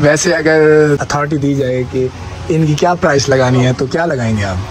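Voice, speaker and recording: A young man with a Saraiki accent jokes his way through a street vlog in a slightly high voice. He teases the people around him and laughs a lot. Filmed outdoors in a courtyard on a phone held close. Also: moderate pace. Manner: playful, teasing, laughs often. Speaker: vlogger host. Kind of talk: joking street vlog banter.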